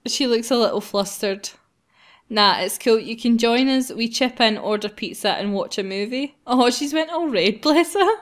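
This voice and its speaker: A woman's voice: a little high, normal speed. A woman hosting a gaming video, reading a visual novel aloud and breaking into casual banter.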